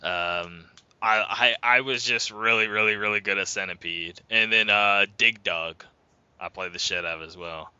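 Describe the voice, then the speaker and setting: A young man in his twenties hosts a casual gaming podcast, drifting through memories with plenty of fillers. His voice sits a bit low yet sounds very bright and forward. The recording is dry, with a dull, lo-fi mic. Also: normal speed, clear, resonant tone, no room sound. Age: 20s. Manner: loose, rambling, says "like" a lot. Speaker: podcast host. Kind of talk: casual chat.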